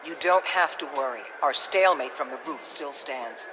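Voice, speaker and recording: A woman's voice: deep voice, normal speed, noisy background, lightly acted. A woman playing a hard-nosed government agency chief in a video game, blunt, authoritative and combative. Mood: measured, grave. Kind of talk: dramatic video game acting.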